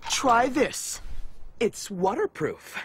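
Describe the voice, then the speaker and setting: A woman's voice: a little high, big pitch swings, slow, a little hiss or hum. A woman playing a flirty, teasing woman bartender in a dubbed video game cutscene, with a gushing drawl. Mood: teasing, playful.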